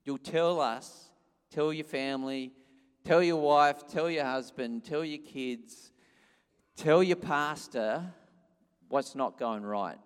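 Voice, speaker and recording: A man with a strong, loud voice, preaching an informal, conversational sermon with an emphatic, urging manner. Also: a little high, takes it slowly, smooth. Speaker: pastor. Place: church hall, amplified by sound system. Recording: echoey hall with long reverb.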